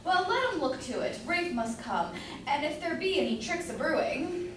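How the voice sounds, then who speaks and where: A woman's voice: sits high, very animated, normal speed. A woman playing a grumbling, blustering but doting citizen husband in a staged reading of a period comedy. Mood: indignant. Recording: echoey room from far off, faint noise.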